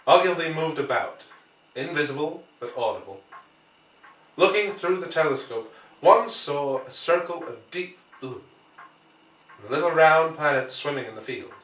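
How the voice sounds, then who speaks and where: A man reading literary fiction aloud as an audiobook narrator, measured and expressive, with deliberate dramatic pauses. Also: a little high. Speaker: audiobook reader. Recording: echoey room, distant microphone.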